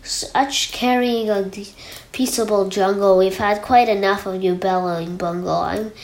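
A woman's voice: mid-range voice, steady tone, unhurried, darkish tone, close to an everyday voice. A woman voicing a big, bossy female kangaroo in a storybook, haughty and scolding. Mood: scornful, scolding. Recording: roomy echo with background noise.

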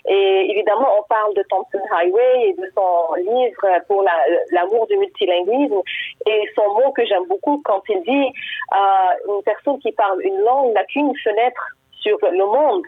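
A woman author with as her talks reflectively, pausing often to search for words. Her voice is a little husky and sits somewhat high. It comes through a thin, tinny telephone line with no room echo. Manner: thoughtful, reflective, with frequent hesitations. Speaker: guest author. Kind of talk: conversational interview answer.

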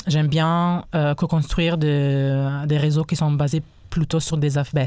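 Man, halting talk. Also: on the high side, a little mellow, smooth, no echo. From Italy. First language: Italian. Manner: hesitant, many fillers, mixes in English phrases. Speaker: guest co-host. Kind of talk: casual radio chat.

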